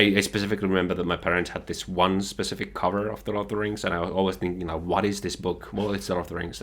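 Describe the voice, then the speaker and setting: A man's voice: low-pitched, fairly bright tone. A man, talking earnestly and eagerly about books he loves. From Finland. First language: Finnish. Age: 20s. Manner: earnest, enthusiastic, many "like" fillers. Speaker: guest, partner of the host. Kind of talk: casual chat.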